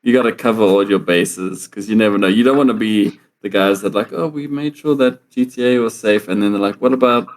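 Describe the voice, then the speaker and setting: A man's voice: fairly low pitch, brisk, few pauses. A man co-hosting a podcast in casual chat, laid-back and agreeable. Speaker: podcast co-host.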